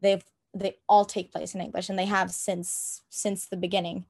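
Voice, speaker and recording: A woman's voice: mid-range voice, flat delivery, moderate pace, creaky, a little mellow. A young woman from Norway with a Norwegian accent, telling an interviewer about her inner worlds in a hesitant way, with many ums and restarts. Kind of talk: casual interview talk. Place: room at home.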